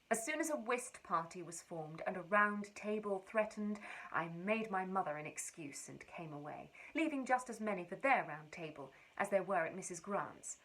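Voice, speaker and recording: Woman, literary letter reading, lively intonation, husky edge, arch and precise. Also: lowish voice, poor audio. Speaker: reader. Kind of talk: expressive scripted reading of a literary period letter.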